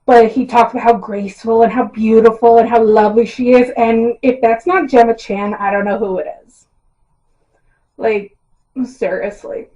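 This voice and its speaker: A woman with a hoarse, slightly high voice talks casually to her camera, rambling through her thoughts with plenty of ums and self-mocking asides. There is no proper mic, so her voice comes through dull and lo-fi from the camera's built-in microphone. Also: fairly even intonation, normal speed, dark tone. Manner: rambling and self-deprecating, with frequent ums and laughs. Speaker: YouTuber casting book adaptations. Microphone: built-in camera mic, no external mic.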